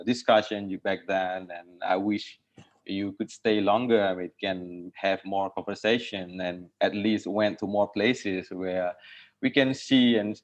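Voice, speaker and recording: An Indonesian man moderates an online chat in a casual, hesitant way, often repeating phrases. His voice is on the low side, and the recording is a bit dull and lo-fi. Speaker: host.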